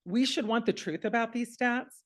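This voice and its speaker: A woman giving a public comment at a community board meeting at a podium microphone, halting at times and restarting her sentences. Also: fairly high pitch. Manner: halting, with many fillers and restarts. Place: meeting hall.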